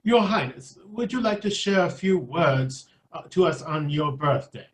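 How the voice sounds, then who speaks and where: An actor playing a formal, ceremonious royal attendant in a children's fairy-tale stage reading. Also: male voice, a little high, animated, measured pace.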